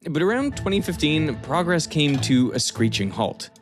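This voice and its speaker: A man's voice: fairly high pitch, very animated, normal speed. A man narrating a documentary in a scripted, explanatory style. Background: background music.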